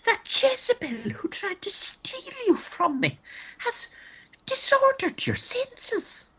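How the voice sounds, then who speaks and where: A fussing, jealous wife from a comic radio play, played by a woman. Her voice is extremely high and bright, swooping widely as she theatrically takes offence. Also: sing-song, slow, exaggerated voice, low-quality recording. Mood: offended.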